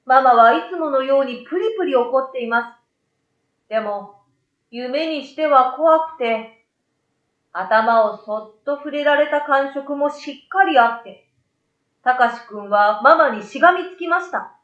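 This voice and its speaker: A woman's voice: high-pitched, expressive, unhurried, fairly bright tone. A middle-aged woman reading a children's story expressively as a storyteller into a desktop microphone in a small room. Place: small bright indoor room. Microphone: desktop condenser microphone to the side. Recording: roomy, slightly dull sound.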